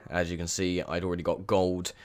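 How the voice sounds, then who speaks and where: A young man with a croaky, sore voice, chatting casually through gameplay commentary with lots of ums. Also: low-pitched, level tone, brisk. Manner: chatty, rambling with many ums. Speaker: gaming video host.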